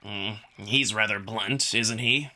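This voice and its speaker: A man's voice: fairly low pitch, slow pace, pushed and bright, heavily acted. A man voicing a haughty, irritable alien wolf prince in a visual novel, curt and dismissive. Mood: irritated, dismissive.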